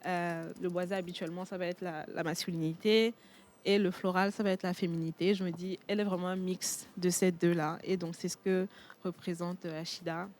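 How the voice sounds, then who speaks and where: A woman from Togo whose first language is Tchokosi, a perfume entrepreneur speaking as a guest in a TV studio interview, pausing often on fillers. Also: lowish voice, flat delivery, a little mellow. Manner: hesitant, many fillers and restarts.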